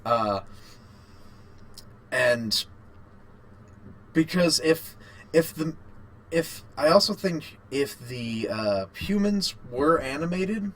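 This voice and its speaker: A man co-hosting a podcast, chatting casually like an enthusiastic fan in rambling, halting explanations. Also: a little high, lively intonation, rough recording. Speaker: podcast co-host.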